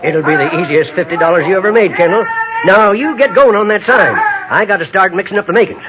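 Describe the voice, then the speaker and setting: A man's voice: sits high, quick pace, dull audio, noisy background, lightly acted. An actor playing a fat little boastful rainmaking con-man in an old radio western, with folksy rural American Western patter. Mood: sly confidence. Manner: folksy salesman patter, drawling dialect. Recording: vintage radio drama recording with music.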